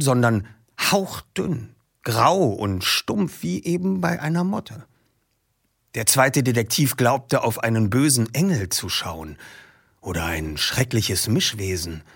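A man's voice: mid-range voice, husky. A man hosting a podcast who reads a book passage aloud and then interviews a guest in a casual, eager way.

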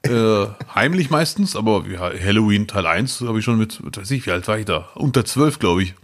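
A man's voice: on the low side, animated, fairly quick. A man co-hosting a podcast in casual chat, dropping dry jokes and short agreeing replies. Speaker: podcast co-host.

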